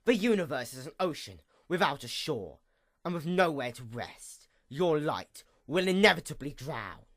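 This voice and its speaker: A performer acting out a bitter pony goddess of the night, female and vengeful. The delivery of this villain monologue is grandiose and formal, with a mid-pitched voice that sweeps widely between fierce outbursts and hushed menace. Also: sing-song, moderate pace. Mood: scornful, brooding. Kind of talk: dramatic reading, theatrical villain monologue.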